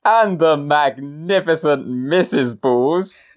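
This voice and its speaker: A woman's voice: deep voice, slow. A woman playing a pompous male novelist. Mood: smarmy. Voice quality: pompous, gushing.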